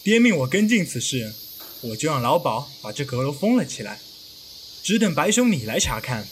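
A man's voice: a little high, sing-song, normal speed, background noise. A man playing an earnest, well-bred young master, an official's son, in a period audio drama. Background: music.

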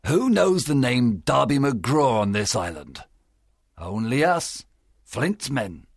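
A man voicing a cunning, commanding pirate leader in a storybook, with a rough, gravelly voice and forceful delivery. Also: on the high side, big pitch swings, measured pace, dry recording, studio quality, voice pushed up, heavily acted. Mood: uneasy. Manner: forceful, bossy. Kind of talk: dramatised storybook reading.

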